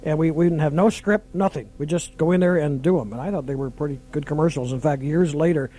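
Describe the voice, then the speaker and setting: Mellow male radio broadcaster. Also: fairly high pitch, fast talker, poor audio. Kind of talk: casual radio talk.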